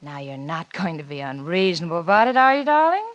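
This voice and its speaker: An actress playing a cunning, coolly blackmailing wife in a 1940s radio suspense drama, with a coy, teasing, insinuating manner. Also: female voice, lowish voice, sing-song, quick pace. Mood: mocking, sweet. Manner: coy, teasing, insinuating drawl with theatrical swoops.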